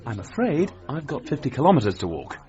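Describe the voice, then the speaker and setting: Small radio drama part: male actor as reluctant sportsman, curt, medium pitch, dull sound. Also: sing-song, brisk, a little mellow, natural voice. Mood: guarded.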